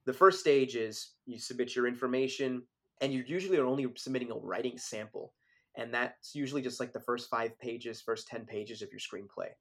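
A man's voice: mid-range voice, brisk, fairly bright tone. A young adult man, a filmmaker guest, talking casually in an interview, full of fillers and asides. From Philadelphia area, born in Switzerland. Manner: conversational, many fillers like "like" and "you know".

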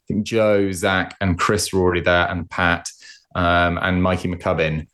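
Low-voiced man with an English accent. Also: moderate pace. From Scotland. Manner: relaxed, self-deprecating, chatty with many fillers. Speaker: podcast guest, football presenter. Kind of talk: casual interview chat.

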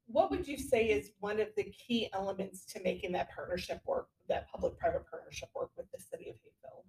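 A woman's voice: mid-range voice, quick pace, darkish tone, slightly rough, poor audio. A woman speaking as a podcast host, introducing and interviewing a guest.

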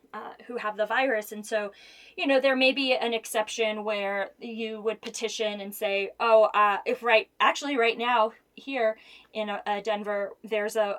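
A woman's voice: mid-range voice, a bit bright. A woman with an American accent speaking as an interview guest, explaining things earnestly and emphatically in a conversational storytelling way.

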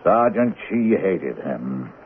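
Low voiced american guy, guilty and halting, old radio play, tinny with hiss. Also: big pitch swings, full character voice. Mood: hesitant, regretful. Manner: halting, apologetic, self-doubting. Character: hesitant, guilt-ridden man giving police a statement. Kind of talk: 1970s radio drama acting.

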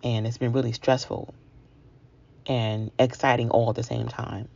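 A woman's voice: very deep voice, little pitch movement, moderate pace, gravelly, darkish tone, lo-fi sound. A woman of around forty from New York, telling a personal story in a candid, conversational, confiding way. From New York, United States. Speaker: featured storyteller.

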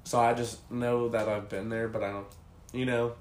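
A young man from the Pacific Northwest, talking loosely and crudely among friends and laughing often. His voice sits at an ordinary pitch and carries a roomy echo. A faint hiss runs underneath. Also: flat delivery, normal speed. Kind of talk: casual chat among friends. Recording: echoey room with faint hiss.

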